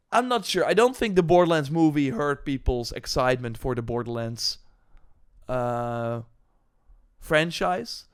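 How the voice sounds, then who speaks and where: A man giving casual, unscripted gaming commentary in a halting, rambling way, with animated emphasis and little acted-out asides. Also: on the high side. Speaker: gaming news streamer reacting to announcements and chat.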